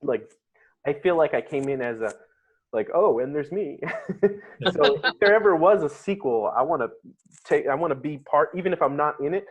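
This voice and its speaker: A man's voice: fairly high pitch, lively intonation, moderate pace, slightly rough, lo-fi sound. A man in casual reunion chat, talking in an easygoing, self-deprecating way about his part in a film. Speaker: guest, actor and comic artist.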